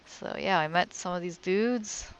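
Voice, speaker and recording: A woman's voice: fairly low pitch, measured pace. A woman giving casual, wry, musing commentary as she plays through a game. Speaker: gaming video host.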